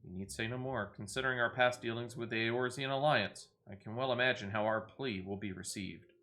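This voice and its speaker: A man's voice: medium pitch, brisk, sharp tone, close to an everyday voice. A man voicing a grave, proud envoy from a besieged fantasy city in a video game, with stately, urgent archaic speech. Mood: formal, pleading.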